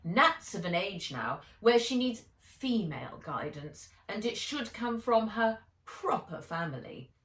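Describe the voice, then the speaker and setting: A woman's voice: mid-range voice, sing-song, unhurried, few pauses, fairly bright tone, pitched above the performer's own voice. A woman voicing a bossy, snooty middle-aged aunt with a cold, condescending, insistent manner in a storybook reading. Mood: snappish. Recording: roomy echo, distant microphone.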